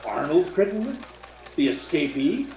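A man's voice: a little high, very animated, moderate pace, a little mellow. A man playing a puzzled but dogged police inspector in a radio mystery play. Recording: dull, echoey, faintly noisy video call.

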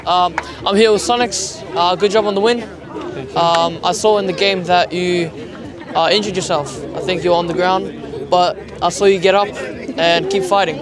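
Teen boy interviewer, high voice, handheld mic, loud crowd around on a field. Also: steady tone, moderate pace. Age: teens. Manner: polite, hesitant with fillers. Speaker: sideline interviewer. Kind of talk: post-game interviews. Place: outdoor sports field. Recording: crowd noise outdoors. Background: crowd chatter.

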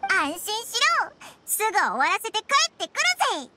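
A woman voicing a tiny childlike fairy companion in a game dub, blunt, cheeky and excitable. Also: very high-pitched, fairly quick, heavy background noise. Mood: confident, curious. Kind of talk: Japanese game dub, anime-style character acting.

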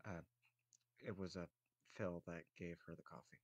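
A man with a fairly low voice plays through an improvised roleplay scene. He speaks haltingly, feeling out his phrases, and the sound is close and dry. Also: fairly even intonation, no echo. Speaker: tabletop roleplay player speaking in character. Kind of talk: improvised in-character dialogue.

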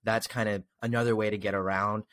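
A young man with a slightly low voice talks in a casual, conversational style as an interviewer. He speaks close to a desk mic in a room at home. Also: flat delivery, brisk, fairly bright tone. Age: young adult. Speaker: podcast host, interviewer. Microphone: desk microphone close up, video call.

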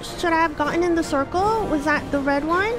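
High-pitched, flustered woman game streamer. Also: fairly quick. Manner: excitable, flustered, exclamatory, repeats words in panic. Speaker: streamer, host; English literature teacher. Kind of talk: casual livestream gaming chat. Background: game music.

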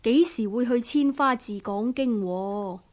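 A woman's voice: fairly high pitch, unhurried, close to an everyday voice. An actress playing a devout, deferential female disciple in a radio drama, speaking politely with a Hong Kong Cantonese accent. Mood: respectful. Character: respectful, devout female former maidservant disciple.

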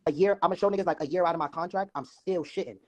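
Late-teens man, rapid-fire argumentative delivery, raised pitch, phone-camera sound. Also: lo-fi sound, over a noisy crowd. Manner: rapid-fire, keeps repeating "listen" to cut in. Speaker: rapper on a live stream. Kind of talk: heated casual argument. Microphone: phone front camera.

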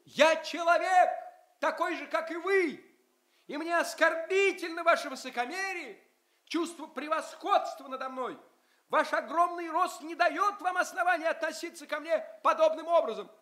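Tiny, piercingly high-voiced proud little traveller. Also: male voice, normal speed, sharp tone. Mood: indignant. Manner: formal, earnest, emphatic. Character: tiny, proud, indignant human traveller among giants. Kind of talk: theatrical radio play acting.

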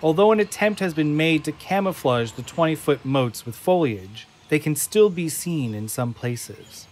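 A man narrating an audio drama from a script, in measured, descriptive storytelling. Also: on the high side, lively intonation, darkish tone, slight background noise. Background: faint music.

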